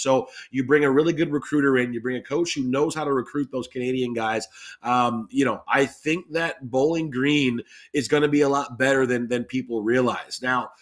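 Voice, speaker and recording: A man talking animatedly as a sports podcast guest, with an emphatic, playful delivery like a salesman's. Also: mid-range voice. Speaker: guest, radio play-by-play announcer.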